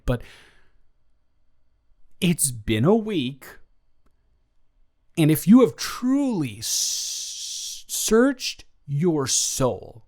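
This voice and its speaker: Slow-talking, flustered, tender ex-boyfriend, acted by a man. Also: medium pitch. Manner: halting, stammering, trailing off. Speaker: audio roleplay performer. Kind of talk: improvised intimate boyfriend audio roleplay.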